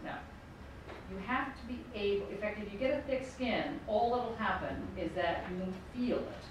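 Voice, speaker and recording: A woman's voice: medium pitch, normal speed. A middle-aged woman giving a university lecture in a conversational, wry manner, circling back to her points. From United States. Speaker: professor. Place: lecture room. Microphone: room mic far from speaker. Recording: echoey room, distant mic, background noise.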